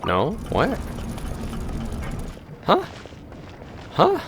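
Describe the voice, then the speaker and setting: A man's voice: sits high, wildly swooping pitch, very slow, noisy background. A man giving casual, playful commentary as a streamer while playing a video game, often talking to the game. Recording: game music behind voice.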